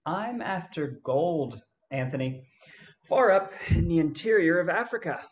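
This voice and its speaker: A man playing an easygoing gold-hunting adventurer in a radio play, telling his story in a halting way. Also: fairly high pitch, expressive, highs cut off.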